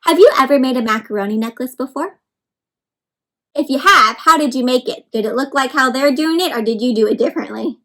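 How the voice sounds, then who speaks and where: A woman reading a picture book aloud for children in an animated, encouraging way, addressing them directly with questions and simple explanations. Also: high-pitched, fairly quick. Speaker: read-aloud storyteller for children.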